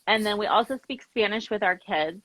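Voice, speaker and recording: A woman's voice: medium pitch, brisk, a bit bright, no room sound, poor audio. A woman in her thirties from Los Angeles who founded a family language program, chatting over a video call and laughing often. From Los Angeles, USA. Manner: bubbly, laughs often, many "like" fillers.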